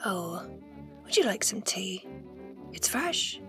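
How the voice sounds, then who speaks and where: A woman voicing a kindly, fussing female office worker in an animal audio drama, in a soft, breathy voice. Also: mid-range voice, sing-song, takes it slowly, soft background music, pitched above the performer's own voice, exaggerated voice. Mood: motherly.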